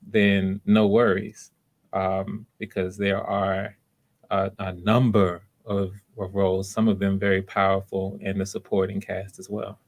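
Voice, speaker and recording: Man, fairly low voice, livestream host, casual announcements, halting explanatory delivery, frequent repetition. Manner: halting, explanatory, repeats himself. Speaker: livestream host running voice auditions. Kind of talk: casual live announcements to viewers.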